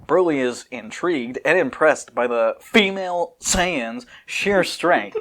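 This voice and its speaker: A man's voice: on the high side, lively intonation, takes it slowly, fairly bright tone. Casual man reading fan fiction aloud. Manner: breaks off from reading to comment and joke. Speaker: podcast host reading aloud.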